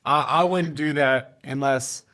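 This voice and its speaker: A man's voice: mid-range voice, measured pace, sharp tone. A man hosting a sales meeting, easygoing and affirming while making a counterpoint. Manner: easygoing, affirming, friendly pushback. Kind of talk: casual meeting chat on a video call.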